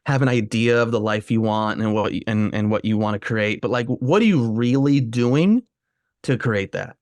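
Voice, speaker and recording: A man's voice: on the low side, normal speed, darkish tone, no echo. A man hosting a podcast interview who talks in a friendly, rambling way with many fillers. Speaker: podcast host.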